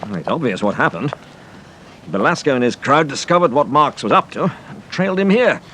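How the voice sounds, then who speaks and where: An actor playing a calm, decisive English gentleman detective in a British radio drama, brisk and polite in manner. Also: mid-range voice, slight background noise. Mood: brisk, matter-of-fact.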